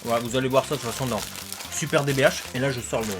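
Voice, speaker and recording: Male co-host, rapid teasing banter, mid-range voice. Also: medium pitch, steady tone, music in the background. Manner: joking, teasing, explains fan details. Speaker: YouTube co-host. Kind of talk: casual unboxing banter.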